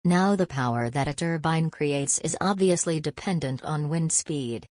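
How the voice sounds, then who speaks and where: Low-pitched woman reading aloud. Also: unhurried, a little mellow, dry recording, clean studio sound. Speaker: reader of practice passages. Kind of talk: scripted read-aloud narration.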